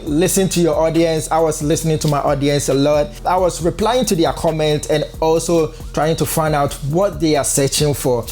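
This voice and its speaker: A man's voice: fairly high pitch, warm tone. A young man from Ghana with a Ghanaian accent, earnestly giving advice to camera in a casual vlog. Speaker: content creator. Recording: faint background music.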